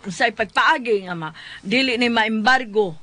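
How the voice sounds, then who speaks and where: A woman's voice: fairly high pitch, measured pace, a crowd in the background. A Cebuano Filipino woman chatting casually as a panelist in a back-and-forth advice discussion.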